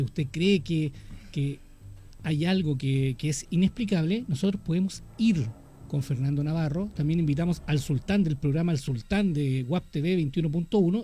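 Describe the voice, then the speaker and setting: A man's voice: on the high side, warm tone. A man hosting a radio talk show, speaking eagerly and plugging his services to listeners. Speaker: radio host.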